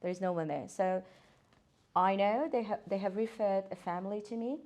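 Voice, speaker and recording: A woman's voice: medium pitch, moderate pace, darkish tone. A woman, a guest occupational therapist talking earnestly, with hesitant fillers and restarts. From Iran. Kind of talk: casual podcast interview.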